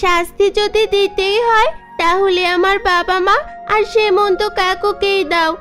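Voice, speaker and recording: A woman's voice: extremely high pitch, steady tone, unhurried, a bit bright. A woman voicing an earnest little village girl in a cartoon, telling the truth plainly. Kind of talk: cartoon dubbing. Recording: faint children's music behind.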